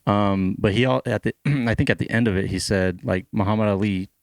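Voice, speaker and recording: A man with a lowish voice co-hosting a relaxed podcast chat. He agrees often and gropes for words with ums and uhs. The recording is dry and close. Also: fairly quick, no echo. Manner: agreeable, mostly short affirmations, hesitant with ums. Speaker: podcast co-host. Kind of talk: casual chat.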